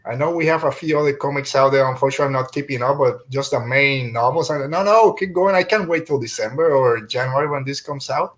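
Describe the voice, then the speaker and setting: A man's voice: medium pitch, quick pace, hardly any pauses. Casual, joking male podcast host.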